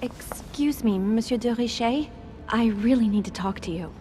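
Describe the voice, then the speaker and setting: A woman's voice: a little high, normal speed, mellow, soft background music, lightly acted. An actress playing a wounded, distrustful young woman in a video game drama, speaking in an intense, bitter and guarded manner. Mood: polite, uneasy.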